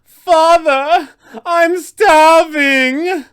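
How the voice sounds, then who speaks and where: A man voicing a starving, desperate young vampire spawn from a fantasy game, pleading and menacing in drawn-out screamed outbursts. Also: very high voice, fairly even intonation, fairly bright tone, pitched above the performer's own voice, exaggerated voice. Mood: screaming, starving. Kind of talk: theatrical fantasy game villain acting.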